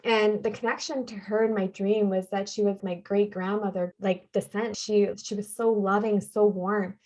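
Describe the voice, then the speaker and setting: A woman's voice: medium pitch, little pitch movement, normal speed, warm tone, low-quality recording. A woman, a podcast guest earnestly telling a personal story and repeating phrases for emphasis. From Canada.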